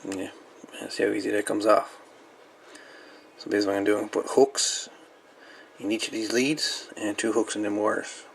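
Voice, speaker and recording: A man giving a casual hands-on repair walkthrough in a halting, folksy way with colloquial grammar. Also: fairly low pitch, flat delivery, gravelly, a little hiss or hum, low-quality recording. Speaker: repair video host. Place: indoor electronics workbench.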